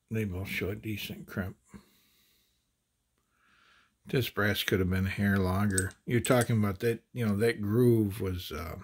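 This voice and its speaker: A man casually demonstrating ammunition handloading at a table, talking in halting phrases with many fillers and restarts. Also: on the low side, slightly rough. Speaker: handloading channel host.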